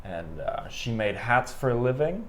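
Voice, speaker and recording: A young Canadian man in his twenties, talking in a relaxed, rambling way with many fillers as a guest on an interview podcast. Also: medium pitch, measured pace, a little hiss or hum. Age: 20s. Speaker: guest, amateur boxer. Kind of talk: casual interview conversation.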